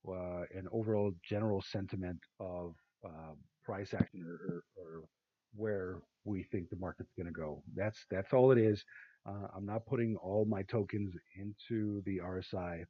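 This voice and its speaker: A man with a rather low, raspy and tired voice talks through price charts in a loose, rambling way, full of "uh"s and restarts. The sound is thin and tinny, like a phone line. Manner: rambling, many fillers and restarts. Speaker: crypto chart analyst. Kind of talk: casual screen-share market analysis.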